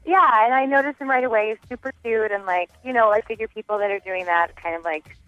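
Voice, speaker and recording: A woman's voice: on the high side, fast talker, dry recording, soft background music. A woman calling a radio show by phone, casually telling a personal story in a hesitant way, often saying "like". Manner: hesitant, filler-heavy with frequent "like". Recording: muffled telephone line on radio.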